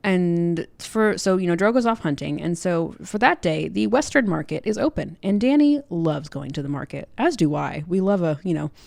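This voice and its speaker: A woman with a low voice in a dry, close recording chats casually as a podcast co-host. She retells a story informally, slipping into bits of dialogue as she goes. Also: animated, moderate pace, vocal fry, few pauses, darkish tone, dry recording. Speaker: book club podcast co-host. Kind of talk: casual chatty book recap.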